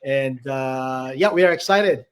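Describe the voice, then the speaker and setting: A man's voice: medium pitch, measured pace, clean tone. A man with a Filipino accent giving conversational interview answers as a guest coach, feeling his way through phrases and checking in with "right". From Philippines. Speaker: podcast guest, online business coach.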